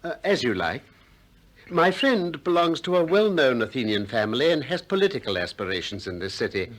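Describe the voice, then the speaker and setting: An actor playing a probing, gently ironic Greek philosopher who also narrates, in a 1960s radio play. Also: male voice, mid-range voice, big pitch swings, lightly acted. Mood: courteous, inquiring. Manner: questioning, gently ironic, deliberate.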